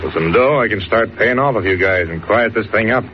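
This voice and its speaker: An actor playing a bossy, irritable racketeer in an old radio drama, talking in gruff tough-guy slang. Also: fairly low pitch, fairly quick, close to an everyday voice. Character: bossy, irritable male racketeer gang boss. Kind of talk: 1940s radio acting. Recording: noisy, dull old radio recording.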